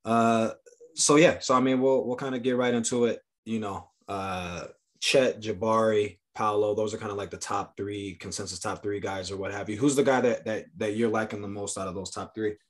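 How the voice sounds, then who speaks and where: A man's voice: on the low side, fairly even intonation, normal speed. A man hosting a casual sports podcast, chatting loosely with frequent laughs and fillers. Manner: laughs often, loose filler-heavy talk. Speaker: podcast host.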